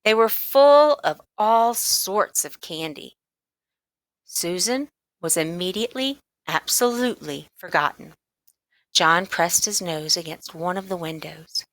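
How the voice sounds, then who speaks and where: A woman narrating a children's book aloud, expressively and with deliberate emphasis on key words. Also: on the low side, a bit bright, no room sound. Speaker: storybook reader.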